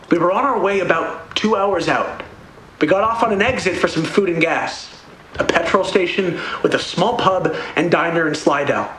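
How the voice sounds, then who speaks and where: Casting: a man to play a shaken male musician giving horror testimony in a radio play; high-pitched, slow, repeating himself. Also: bright, forceful tone. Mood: guarded, weary. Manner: halting, repeats phrases. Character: frightened touring musician recounting a horror under questioning. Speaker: actor in an audio play. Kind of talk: dramatic audio play monologue. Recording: roomy echo, faint background noise.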